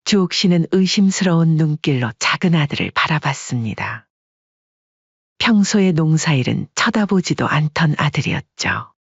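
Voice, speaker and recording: A narrator reading a family drama in calm, measured scripted narration. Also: medium pitch, husky, no room sound, highs cut off.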